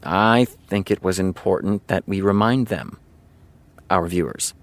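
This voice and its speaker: An actor playing a smug, self-assured TV showrunner in an audio drama, speaking in a pompous, deliberate, lecturing way. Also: male voice, sits low. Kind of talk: naturalistic audio drama acting.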